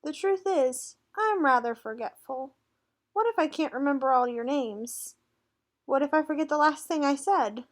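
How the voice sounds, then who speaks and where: A woman's voice: high voice, expressive, normal speed. A woman voicing a nervous, forgetful male armadillo teacher in a picture book, hesitant and bashful. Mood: anxious. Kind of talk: picture book read aloud.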